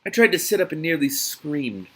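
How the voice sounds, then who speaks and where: A man reading a novel aloud as host of a reaction series, in a dramatic, expressive manner. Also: fairly high pitch, normal speed, breathy edge.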